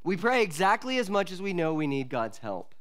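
Pastor guy in his 40s preaching at a church lectern mic, slightly high voice, steady and earnest. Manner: earnest, measured teaching with pauses and restarts. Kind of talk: expository sermon. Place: church sanctuary at a wooden lectern. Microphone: lectern microphone.

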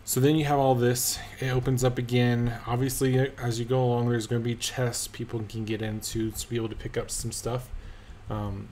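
A man narrating gameplay as a let's play host, relaxed and thinking aloud with many pauses and ums. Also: medium pitch, slight background noise. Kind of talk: casual game commentary. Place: at a computer, voice-over of gameplay.

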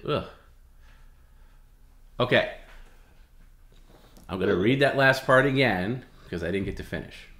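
A man's voice: medium pitch, measured pace, long pauses, pushed and bright. A man hosting a livestream, reading out dramatically and repeating himself in an emphatic, goading way. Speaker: livestream host. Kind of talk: dramatic reading mixed with casual talk. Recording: roomy, echoey sound.

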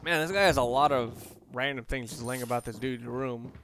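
A man's voice: medium pitch, normal speed, a bit bright, a little hiss or hum. A man giving casual video game commentary, rambling along and thinking aloud as he plays. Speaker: let's play host.

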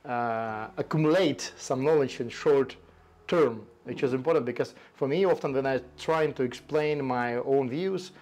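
A man in his forties with a Russian accent, speaking English as a second language in a halting, casual conversation on an outdoor balcony. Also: mid-range voice, a little mellow. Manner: halting, restarts often, non-native grammar. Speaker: tea company host. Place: covered balcony outdoors, forest behind.

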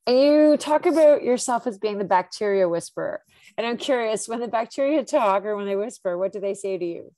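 A woman's voice: medium pitch, animated, a little fast, mellow, no echo. A woman hosting a webinar who asks her guest questions with enthusiasm and curiosity. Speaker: webinar host, interviewer. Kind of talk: moderating a webinar Q&A.

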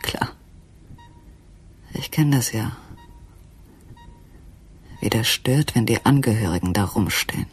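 A woman's voice: rumbling low, animated, slow, long pauses, breathy edge, full character voice. An actress playing a weary, troubled wife recounting her day in a radio drama monologue, half-whispering.

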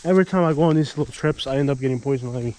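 A man in his thirties vlogging casually outdoors, chatting and thinking out loud with lots of fillers, filmed on a handheld camera. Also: on the high side, rapid-fire, slight background noise. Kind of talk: casual walking vlog. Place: outdoors at an empty lot, open air. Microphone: handheld action camera at arm's length.

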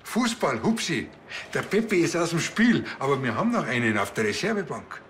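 A man's voice: on the high side, big pitch swings, normal speed, fairly bright tone, slight background noise. An actor playing an easygoing, chatty village policeman with a Bavarian accent in a TV crime comedy. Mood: excited. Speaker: policeman character. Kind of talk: naturalistic TV crime comedy acting.

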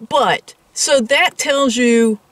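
A woman's voice: sits high, drawn-out words, fairly bright tone, no echo, recorded from a distance. A woman co-hosting a travel vlog, explaining things in casual, conversational talk addressed straight to viewers. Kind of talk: casual explanatory chat to camera.

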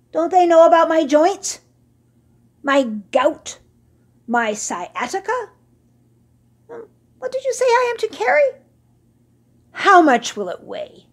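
A woman's voice: very high voice, voice pushed up, full character voice. A woman voicing an old, boastful male camel who grumbles about his aches and acts grand. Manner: whiny complaining, grandly self-important.

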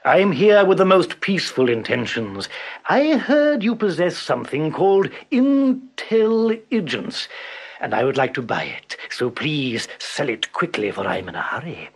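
A man voicing a sly, purring tiger, low and slowly whispered, with a husky edge. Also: sing-song, heavily acted. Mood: falsely pleasant.

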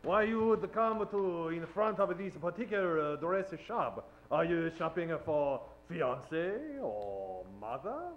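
A man's voice: on the high side, lively intonation, slow, hardly any pauses, dull audio. A man playing a formal, inquisitive Chinese detective general in an old-time radio play, speaking in polite, stilted proverbs. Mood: probing, courteous. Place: live theater stage. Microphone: shared vintage-style stand microphone.

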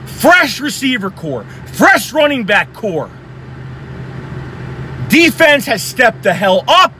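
A young man with a hoarse, worn voice, ranting excitedly and profanely about a game from inside his car at night, recorded close on a phone. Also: extremely high pitch, takes it slowly, slight background noise. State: hoarse from yelling. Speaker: sports fan vlogger. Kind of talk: heated post-game fan rant.

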